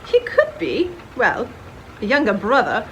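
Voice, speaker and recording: A woman's voice: a little high, huge pitch swings, a little slow, noisy background. A woman playing a prim, shy, proper unmarried librarian in a dramatized novel reading, speaking politely and with restraint. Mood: shy musing.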